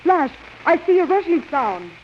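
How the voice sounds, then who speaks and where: Woman as anxious animated heroine, old radio. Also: medium pitch, brisk, close to an everyday voice. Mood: anxious, despairing. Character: anxious devoted young heroine in space adventure. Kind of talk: 1930s radio serial acting. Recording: muffled old radio recording, faint hiss.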